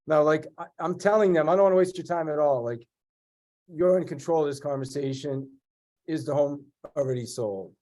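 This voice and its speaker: A middle-aged man with a congested voice from a cold, speaking directly with lots of restarts as an interview guest. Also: fairly high pitch. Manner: direct, energetic, with many restarts. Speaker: guest real estate agent and sales trainer. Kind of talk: conversational interview.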